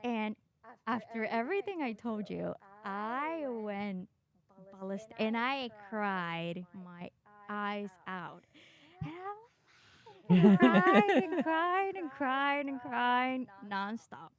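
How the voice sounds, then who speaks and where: A woman from Chile talks casually about her life as a podcast guest. Her voice sits fairly high. She is animated and candid, poking fun at herself and swearing now and then. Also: slow. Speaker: podcast guest, doctor in training. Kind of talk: casual personal storytelling.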